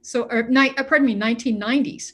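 A woman's voice: on the high side, fast talker, a bit bright. A woman telling a travel story in casual conversation, rambling, with many fillers and restarts. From Canada.

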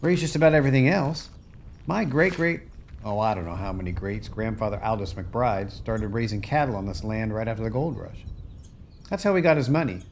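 A man's voice: lowish voice, expressive, fairly quick, a little hiss or hum. A man playing a weary, plainspoken American farmer who has lost his family land, in a folksy radio play style. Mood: nostalgic pride.

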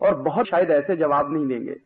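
A man's voice: fairly high pitch, brisk. A man from India giving an impassioned lecture on public affairs, speaking emphatically with repetition and rhetorical questions, on a lo-fi recording. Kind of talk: impassioned political-economic lecture. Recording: dull lo-fi radio-like audio.

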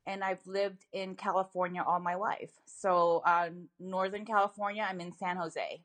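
Female interview guest, forties, very bright forward voice, conversational. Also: on the low side, little pitch movement, moderate pace. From Philippines. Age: 40s. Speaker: podcast guest, bikini athlete and coach. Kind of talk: casual interview answers.

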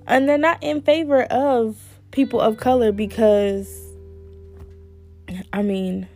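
Host: young woman, a bit hoarse, mellow tone, loose chatty delivery over soft guitar music. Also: fairly high pitch, steady tone, slow pace. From United States. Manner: chatty, candid, lots of fillers. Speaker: podcast host. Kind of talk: casual solo talk.

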